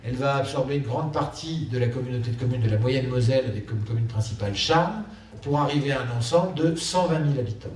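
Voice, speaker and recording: A man giving a political speech as a local elected official, measured and emphatic, repeating key phrases for stress. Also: medium pitch, a little mellow. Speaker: local elected official addressing a town council. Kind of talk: political speech at a council meeting. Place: council chamber, indoors. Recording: echoey room, distant mic, faint background noise.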